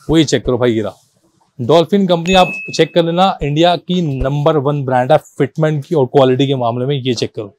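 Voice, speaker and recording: Guy pitching shop stock, voice a bit high, dry sound, pushy and repetitive, drops english numbers in. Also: a little mellow, no echo. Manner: insistent, repetitive, addressing viewers as brother; mixes English numbers and terms into speech. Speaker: car accessories shop seller presenting stock. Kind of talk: informal sales pitch, product walkthrough.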